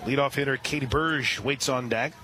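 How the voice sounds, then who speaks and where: A man calling a softball game live on radio, steady between pitches and reading listener shout-outs. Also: moderate pace, noisy background. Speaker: radio play-by-play announcer. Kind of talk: live radio sports commentary.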